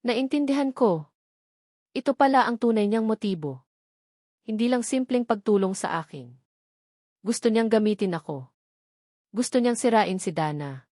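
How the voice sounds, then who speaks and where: A woman's voice: fairly high pitch, expressive, moderate pace, pauses often, warm tone, no echo, studio quality. A woman reading scripted first-person narration of a dramatic story.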